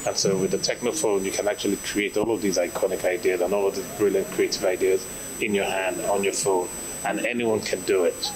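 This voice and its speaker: A middle-aged man speaks in an interview in a fairly low voice. A busy crowd murmurs behind him at an indoor event. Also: level tone, brisk, rough recording. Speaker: arts foundation director. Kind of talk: interview soundbite. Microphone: handheld reporter microphone.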